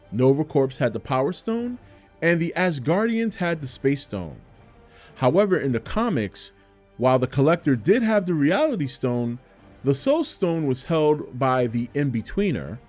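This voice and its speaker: A man's voice: mid-range voice, darkish tone. A man hosting a video with scripted narration. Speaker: video host. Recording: music behind the voice. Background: music.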